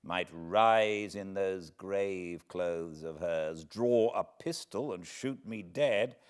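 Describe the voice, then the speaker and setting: A British man reading classic literature aloud as a narrator, in a measured, dramatic manner with deliberate pauses. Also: sits low, clear, resonant tone. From Britain. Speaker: audiobook narrator, host of fireside readings. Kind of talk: expressive literary storybook reading.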